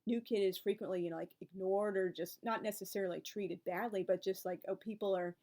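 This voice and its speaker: A chatty woman in her forties, speaking at a middle pitch that is neither high nor low for a woman. She wanders from point to point in a loose vlog-style monologue and keeps adding asides. A wry, weary edge comes into her voice when she talks about online advice. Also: fairly even intonation, fairly quick, warm tone. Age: 40s. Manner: chatty and rambling, piling up asides. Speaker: book and author video creator. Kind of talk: casual reflective vlog talk.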